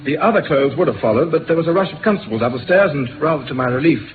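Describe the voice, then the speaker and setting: Actor as fast-talking, earnest English gentleman, old radio. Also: a little high, animated, natural voice. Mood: earnest confession. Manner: earnest, hesitant, confessional storytelling. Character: anguished respectable gentleman confessing a secret double life. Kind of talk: 1950s British radio drama acting. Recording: tinny noisy old radio recording.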